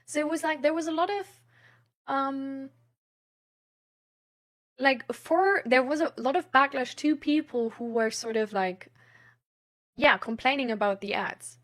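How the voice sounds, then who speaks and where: A woman's voice: sits high, unhurried, long pauses, a bit bright. A woman in casual, rambling chat, thinking aloud in an informal way.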